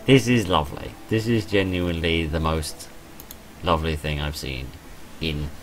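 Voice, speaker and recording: A man's voice: rumbling low, steady tone, moderate pace, a little hiss or hum. A man giving gushing, repetitive let's play commentary as host of a gaming channel. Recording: music behind the voice.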